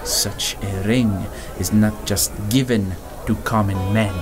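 An actor playing a sly, menacing male informant who bargains slowly in a 1930s-style radio serial. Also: on the low side, fairly even intonation. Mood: sinister. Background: music.

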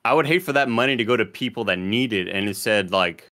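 Halting, deadpan male co-host. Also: fairly low pitch. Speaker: podcast co-host. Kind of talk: casual podcast banter.

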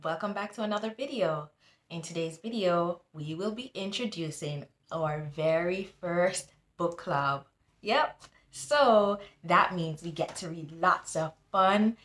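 A woman in her thirties reading aloud to young children as a tutor, pausing to teach and speaking to them directly in an animated, instructive way. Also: on the low side. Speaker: tutor hosting a children's book club. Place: small indoor room. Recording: echoey small room.

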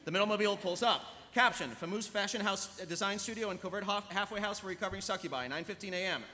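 High-voiced, fast-talking male narrator. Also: pushed and bright. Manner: brisk, dramatic. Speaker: stage-direction narrator. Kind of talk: scripted narration, live table read. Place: convention panel stage. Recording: echoey convention hall, audience noise.

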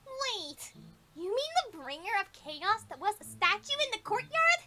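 A woman playing an excitable young female pony with a squeaky voice in a cartoon. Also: normal speed, full character voice. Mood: excited, indignant. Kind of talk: animated fan cartoon acting.

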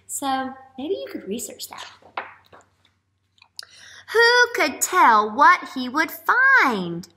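Lady teacher doing a kids read-aloud, super animated, bright voice, roomy echo, asks lots of questions. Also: sits high. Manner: animated, questioning, drawn-out emphasis for children. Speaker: elementary school teacher. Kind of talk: read-aloud picture book lesson for young children. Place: small classroom-like room, indoors.

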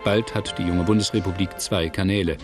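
Guy narrating a documentary, factual and measured, lowish voice, music under it. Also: fairly even intonation. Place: studio voice-over, music behind.

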